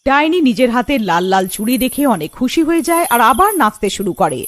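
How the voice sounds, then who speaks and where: A woman's voice: on the high side, sing-song, a little fast, fairly bright tone, no echo. A woman narrating a scripted cartoon story.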